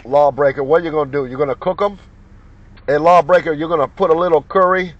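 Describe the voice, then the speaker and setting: Male CB operator, slightly raised pitch, folksy slang and repeated call signs, dull lo-fi sound with radio noise. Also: fast. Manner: repetitive, jocular, folksy banter. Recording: muffled lo-fi sound with loud radio noise, clicks. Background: CB radio noise and clicks.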